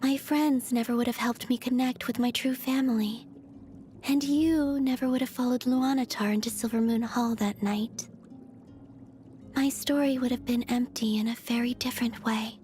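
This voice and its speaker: A woman voicing a serene, otherworldly young moon maiden from a video game, soft and breathy, with a gentle, naive curiosity. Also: a little high, fairly even intonation, slight background noise, lightly acted. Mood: serene, wistful. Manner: slow, dreamy, innocently curious. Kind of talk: video game character voice lines.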